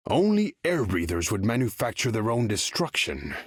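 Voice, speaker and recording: An actor playing a proud, imperious undersea king in a video game, speaking formally and pompously with a husky edge. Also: lowish voice, sing-song, moderate pace, no room sound, clean studio sound. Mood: disdainful. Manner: pompous, formal, grandiose, speaks of himself in third person. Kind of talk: video game character voice lines.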